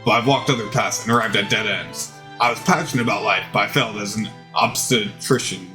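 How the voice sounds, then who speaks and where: A wise, self-assured older gentleman chef from a dating game , acted by a man. The voice is dropped low, gentle and measured, with soft music underneath. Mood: calm, wise. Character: smooth, wise, confident older male chef mentor.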